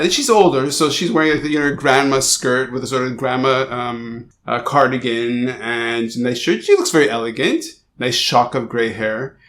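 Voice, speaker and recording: A man hosting an interview podcast, describing a photograph slowly with many pauses and fillers. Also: mid-range voice, fairly bright tone. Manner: hesitant, describes at length with fillers. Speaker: podcast host. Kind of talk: casual interview chat. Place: guest's house.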